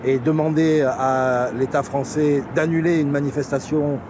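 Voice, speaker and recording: A man's voice: fairly high pitch, steady tone, unhurried, background noise. A man who is a French member of parliament, answering cautiously and hesitantly, with many fillers. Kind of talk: conversation.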